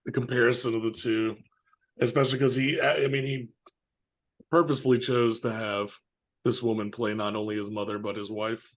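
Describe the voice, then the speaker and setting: A man's voice: mid-range voice, steady tone, brisk. A man co-hosting a casual film discussion, very informal and slangy, leaning often on filler words. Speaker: film podcast co-host.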